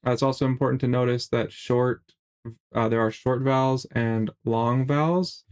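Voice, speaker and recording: A middle-aged man narrating a slideshow language lesson in a relaxed way, with many fillers and restarts. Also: mid-range voice, normal speed, smooth. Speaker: language teacher.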